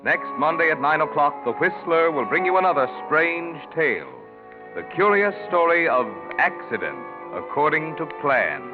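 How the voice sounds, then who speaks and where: A man's voice: on the high side, big pitch swings, moderate pace. An American man announcing and reading sponsor copy on 1940s radio in a polished, emphatic sales delivery. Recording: tinny 1940s radio, faint music.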